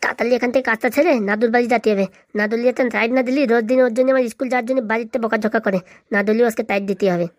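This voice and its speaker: A woman's voice: fairly high pitch, fairly even intonation, rapid-fire, dry recording, studio quality, close to an everyday voice. A woman voicing a bossy little cartoon boy who leads a gang and bullies others with a childish lisp. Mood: cocky. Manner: bossy, threatening, scolding. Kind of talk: comic cartoon dialogue.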